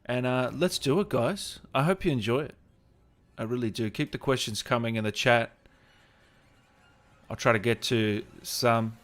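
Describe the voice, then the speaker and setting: A man's voice: medium pitch, normal speed, long pauses, fairly bright tone. Man chatting as a livestream host. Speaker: host of a gaming interview livestream. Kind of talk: casual chat with guest and viewers.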